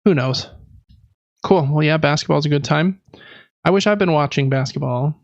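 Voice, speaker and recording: American man with a gravelly, higher-pitched voice. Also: moderate pace, dry recording, muffled recording. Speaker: podcast host. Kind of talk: casual podcast chat.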